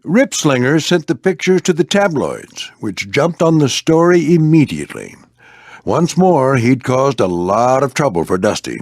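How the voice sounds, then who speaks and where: An older man voicing a veteran warplane skipper in a video game, a gruff, fatherly flight instructor with folksy, military phrasing. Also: medium pitch, sing-song, unhurried, no room sound, studio quality. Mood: storytelling. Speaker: squadron skipper and mentor. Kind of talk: animated video game character voice lines.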